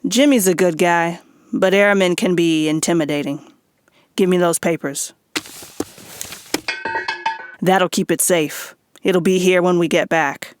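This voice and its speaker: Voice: medium pitch, measured pace, pauses often, smooth, no echo, studio quality, lightly acted. An actor playing a wry, streetwise private detective and war veteran in a noir audio drama, with a folksy hardboiled way of talking. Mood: businesslike. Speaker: lead character and narrator. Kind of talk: noir radio drama dialogue and narration. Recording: music under the voice. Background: background music.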